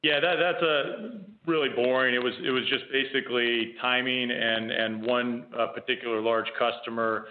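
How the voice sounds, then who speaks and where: A man speaking as a company executive on a conference call, explaining business in a measured way over the phone. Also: medium pitch, steady tone. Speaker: company executive answering analysts. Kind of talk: earnings conference call answers. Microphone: telephone. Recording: tinny phone conference line.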